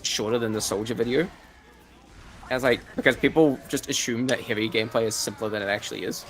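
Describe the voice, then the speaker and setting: A man's voice: mid-range voice, quick pace, a bit bright, rough recording. A man with a New Zealand accent, chatting casually on a live gaming stream, rambling and restarting his sentences. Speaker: streamer and video creator.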